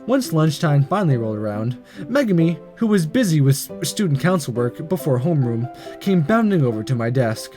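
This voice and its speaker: A man hosting a video, reading a visual novel aloud in an expressive narrating style. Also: on the high side, brisk, over music.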